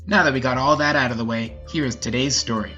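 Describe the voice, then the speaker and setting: A man's voice: medium pitch, quick pace, pushed and bright, dull audio, soft background music. A man hosting a story podcast, talking and narrating in a casual, folksy way.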